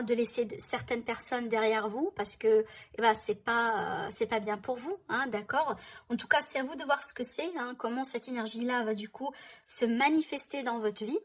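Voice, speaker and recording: A woman doing a tarot reading for her viewers, speaking in a reassuring way, often checking in with "hein" and "d'accord". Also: a little high. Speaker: tarot reader.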